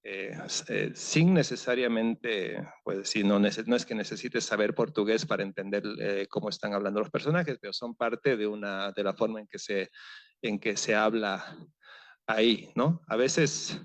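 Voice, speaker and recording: A man with a fairly low voice speaks at a literary panel, picking his way through ideas with pauses, fillers and restarts. The sound is close and dry. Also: no room sound. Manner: halting, thinking aloud with many fillers and restarts. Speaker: novelist on a seminar panel. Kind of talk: panel discussion.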